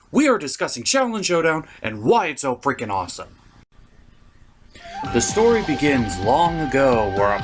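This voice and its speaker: A man delivering scripted comedic review narration as a theatrical, opinionated show host. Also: mid-range voice.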